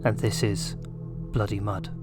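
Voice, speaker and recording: Casting: male narrator, lowish voice, deliberate dramatic reading of scripted fiction with music prominent behind. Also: flat delivery. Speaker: narrator and writer. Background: loud music.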